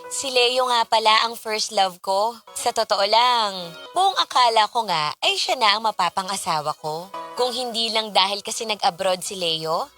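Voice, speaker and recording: A woman's voice: medium pitch, pushed and bright. A woman acting a married woman torn over her returning first love in a Tagalog radio drama, both in dialogue and in confiding narration.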